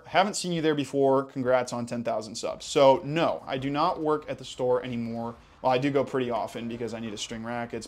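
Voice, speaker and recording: A man's voice: mid-range voice, a little mellow. A young man with an American accent, hosting a casual Q&A video and talking in a chatty, enthusiastic way with casual fillers. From Hawaii. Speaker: tennis channel host. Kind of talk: casual Q&A vlog.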